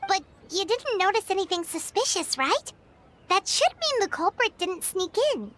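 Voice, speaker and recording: A woman voicing a tiny, chirpy, excitable fairy companion girl with a squeaky voice in a video game. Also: normal speed, slight background noise, full character voice. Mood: curious, chirpy.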